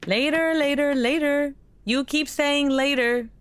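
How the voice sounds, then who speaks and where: A woman's voice: high voice, steady tone, a little slow, slight background noise. A woman playing a worried, exasperated mother in an audio drama, pleading and flustered. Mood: coaxing. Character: worried, exasperated mother of a tantrum-throwing boy.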